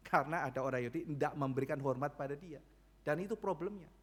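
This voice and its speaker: Pastor preaching in church, fairly high voice, acts out the characters, keeps asking the crowd questions. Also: male voice. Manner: animated, acts out biblical characters in quick dialogue; asks the congregation rhetorical questions. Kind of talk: sermon.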